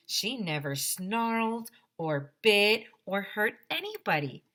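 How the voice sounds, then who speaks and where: A woman's voice: medium pitch, very animated, slow pace, bright, forceful tone, exaggerated voice. A woman voicing sad, grief-stricken schoolchildren in a storybook, whimpering and drawing out the words. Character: sobbing, grief-stricken schoolchildren mourning a dog. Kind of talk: storybook read-aloud character voices.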